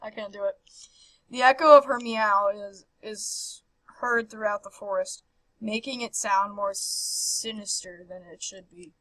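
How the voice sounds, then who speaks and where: A woman hosting a game playthrough, reading visual novel text aloud with animated, casual reactions and stumbling over words now and then. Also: medium pitch, unhurried, rough recording. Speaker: host of a video game playthrough. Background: game background music.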